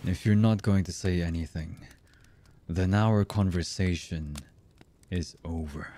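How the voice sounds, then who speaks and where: A voice actor playing a cold, embittered villain man in an ASMR roleplay, husky and menacing, with halting delivery that often drops to a murmur. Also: mellow, slight background noise. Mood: cold menace. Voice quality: husky, low.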